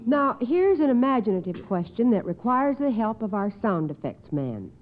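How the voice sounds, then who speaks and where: A woman's voice: on the high side, big pitch swings, normal speed. A woman hosting a 1950s radio quiz show in an encouraging manner. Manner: encouraging, affirming answers. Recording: tinny, band-limited 1950s radio recording.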